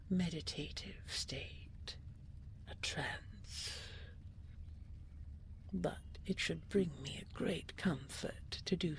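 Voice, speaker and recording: A woman playing a regal, possessive dragon queen in an intimate audio roleplay, with a breathy, whispery voice and formal, coaxing speech full of endearments. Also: low voice, exaggerated voice. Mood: soft, musing.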